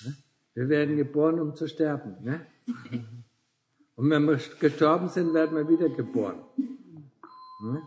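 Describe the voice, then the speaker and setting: A man's voice: on the high side, moderate pace, pauses often, darkish tone. A man in his sixties talking casually as a Buddhist teacher with visitors, tagging nearly every phrase with a questioning "ne?" and chuckling often. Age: 60s.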